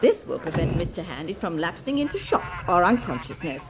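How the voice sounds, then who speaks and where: A woman playing a perky, dutiful wife who co-hosts a comic do-it-yourself radio show, sweetly obliging and brightly instructional. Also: lowish voice, very animated, a little fast, crowd noise. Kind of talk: radio comedy sketch acting, parody how-to presenting.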